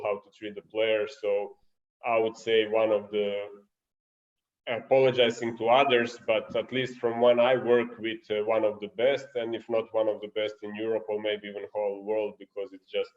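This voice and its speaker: A man's voice: fairly low pitch, a little mellow, lo-fi sound. A man from Serbia with a Serbian accent, a guest coach speaking earnestly in English on an online coaching clinic and searching for his words. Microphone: video call.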